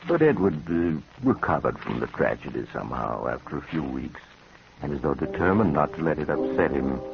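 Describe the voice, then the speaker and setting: Ominous, very deep, gravelly storyteller. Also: male voice, big pitch swings, crowd noise, exaggerated voice. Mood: sombre, knowing. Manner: measured, sombre, formal. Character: ominous, knowing storyteller of macabre tales. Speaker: narrator and host. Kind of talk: 1950s radio mystery narration. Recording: dull, noisy vintage radio recording.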